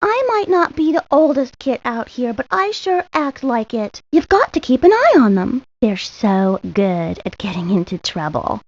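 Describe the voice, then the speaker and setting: A woman's voice: on the high side, a little mellow, dry recording. A woman voicing a bossy, motherly young girl in a video game, confident, prim and self-important. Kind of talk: video game character monologue. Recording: dry, lo-fi 1990s game audio.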